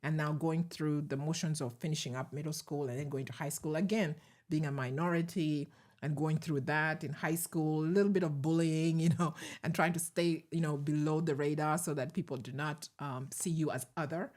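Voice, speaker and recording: A middle-aged woman with a Ugandan accent, a guest recalling her past in a measured, reflective way with soft laughs. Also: low voice, fairly even intonation. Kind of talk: conversational interview storytelling.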